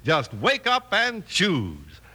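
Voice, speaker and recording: Bright, animated American radio announcer man. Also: a little high, takes it slowly, husky. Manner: polished, salesmanlike. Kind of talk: 1950s radio announcing and ad reading. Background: music.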